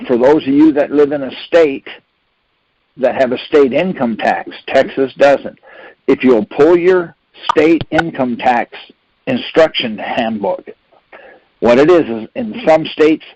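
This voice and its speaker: A man's voice: mid-range voice, a little slow, a little mellow, dry recording. A man leading a conference call, giving an informal instructional talk in a folksy way, with rhetorical questions and frequent check-ins. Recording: dull lo-fi conference call audio.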